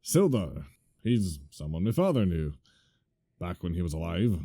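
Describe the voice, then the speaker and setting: A man doing a gruff, dark-voiced dwarf. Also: low-pitched, big pitch swings, heavily acted. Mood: exasperated. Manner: blunt and exasperated, drawn-out delivery.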